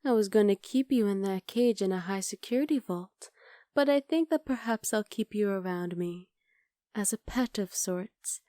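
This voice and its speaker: A woman voicing a scheming, possessive witch in an ASMR roleplay, speaking softly and often half-whispering close to the mic. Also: mid-range voice, moderate pace, no echo, natural voice. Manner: soft, intimate, coolly calculating, often half-whispered. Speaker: voice actor.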